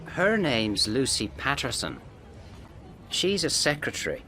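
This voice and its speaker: Man, Irish accent, slow clear narration, lively pitch, faint background noise. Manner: clear, deliberate delivery. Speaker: narrator of a language-course story. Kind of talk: slow scripted narration for learners.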